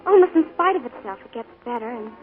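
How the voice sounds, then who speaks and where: An actress playing a young woman mourning her uncle, speaking softly and hesitantly in a 1940s radio drama. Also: fairly high pitch, expressive, fast talker, soft background music, close to an everyday voice. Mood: wistful. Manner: soft, hesitant, wistful. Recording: tinny 1940s radio broadcast.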